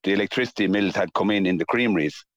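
A man's voice: low-pitched, fast, dry recording, lo-fi sound. An Irish-accented man from County Kilkenny, recounting local history as a guest in a spoken interview. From County Kilkenny, Ireland. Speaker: interviewee, mill restorer.

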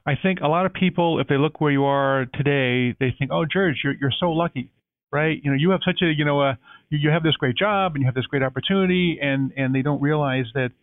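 A man's voice: a little high, brisk, no room sound. A man hosting an interview, asking long, rambling questions full of fillers. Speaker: interview host. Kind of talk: casual interview. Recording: tinny phone-like line.

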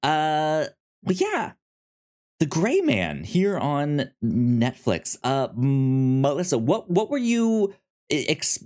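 A man hosting a casual review podcast, speaking in halting, conversational phrases that often double back on repeated words. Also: medium pitch, darkish tone. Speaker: podcast host.

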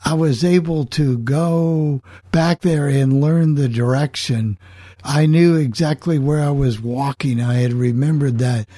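A man's voice: medium pitch, a little slow, mellow, dry recording, clean studio sound. An older man hosting a casual group chat and moving the conversation along among friends. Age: 60s or older. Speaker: host of a club chat.

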